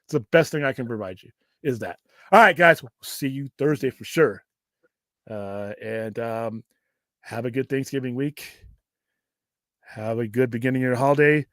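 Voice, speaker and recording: An American man with a gruff voice hosts a casual livestream, talking in a rambling, off-the-cuff way and swearing casually. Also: medium pitch, moderate pace, long pauses, no echo, studio quality. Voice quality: gruff, self-described Cookie Monster voice. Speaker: host of a pop culture livestream show. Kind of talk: casual livestream chat, question and answer.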